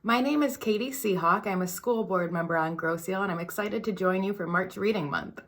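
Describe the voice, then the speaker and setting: A woman, a school board member, reading a children's picture book aloud in an expressive, sing-song storytelling manner, sometimes dropping to a whisper. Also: medium pitch, brisk.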